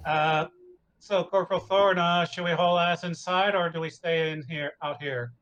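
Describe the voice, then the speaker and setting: A man's voice: on the high side, fairly even intonation, normal speed, a bit bright, lo-fi sound. A man playing a tabletop game, talking casually with the other players.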